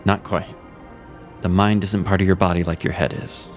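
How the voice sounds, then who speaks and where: A man's voice: low-pitched, level tone, moderate pace, soft background music, lightly acted. A man voicing a cryptic male mage mentor in an audio drama, easygoing and teasing, often chuckling as he talks. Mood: reassuring.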